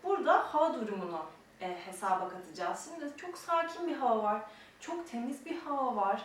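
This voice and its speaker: A woman's voice: a little high, expressive, a little fast. A young adult woman teaching a mime technique step by step, explaining and demonstrating, at times counting out the movements. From Turkey. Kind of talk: step-by-step instruction. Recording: very echoey room, distant mic, faint noise.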